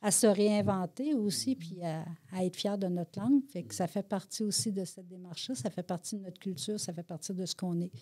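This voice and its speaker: Warm-voiced Québécois woman explaining. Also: medium pitch, a little fast, hardly any pauses. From Abitibi-Témiscamingue, Quebec. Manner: earnest, explanatory. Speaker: immigration counselor. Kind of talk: panel discussion.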